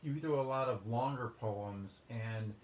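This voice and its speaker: A man's voice: mid-range voice, measured pace. A man interviewing a poet, chatty and casual, often repeating his words as he starts sentences. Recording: echoey room with faint ambience.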